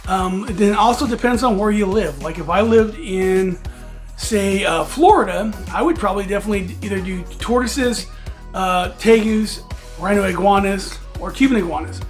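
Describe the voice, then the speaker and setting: Raspy-voiced man in his forties. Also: high-pitched, moderate pace, faint music behind. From United States. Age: 40s. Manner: rambling with many fillers and restarts. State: hoarse from allergies. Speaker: reptile channel host. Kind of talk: casual vlog talk.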